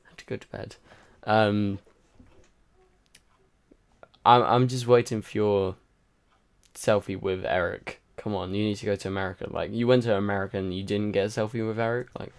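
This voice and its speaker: A man's voice: on the low side, fairly even intonation, normal speed, gravelly, long pauses, smooth. A tired man hosting a casual livestream, rambling and chatty with lots of fillers while answering viewer comments. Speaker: livestream host. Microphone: home microphone.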